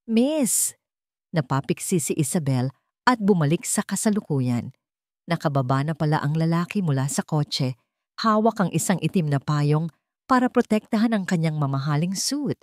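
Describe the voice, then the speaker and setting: A woman's voice: low-pitched, a little mellow, no echo, studio quality. A woman reading a romance story as a dramatic scripted narration.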